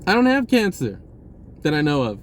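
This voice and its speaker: A man's voice: a little high, wildly swooping pitch, moderate pace, a little hiss or hum. A man talking casually about spirituality in a rambling way, repeating words and swearing offhand. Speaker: spiritual vlogger.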